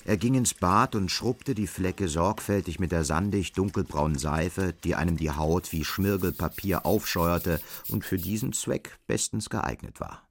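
An actor playing the sober narrator of a bleak dystopian tale in a scripted radio play. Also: male voice, low voice, measured pace, few pauses. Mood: sober, measured.

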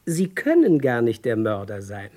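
A man's voice: medium pitch, wildly swooping pitch, moderate pace, warm tone. A smug, needling neighbour who loves to give away endings. He gloats and draws out his teasing in the theatrical manner of a 1960s radio comedy thriller. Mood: triumphant, teasing.

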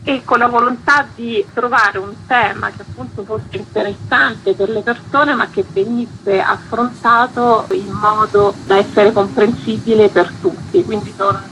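A woman's voice: mid-range voice, steady tone, a little slow, hardly any pauses, fairly bright tone, slight background noise, poor audio. An articulate woman, a curator explaining a cultural programme in a radio interview. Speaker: festival curator being interviewed.

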